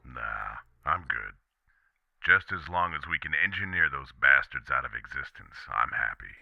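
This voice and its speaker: A bitter, sarcastic cynic in a sci-fi audio drama. His voice is extremely low and gravelly, swinging expressively as he snipes and swears. The sound is dry and slightly dull. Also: expressive, normal speed, no echo, heavily acted. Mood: sour, dismissive. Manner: gruff, sarcastic, dismissive. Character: bitter, foul-mouthed, cynical male leader.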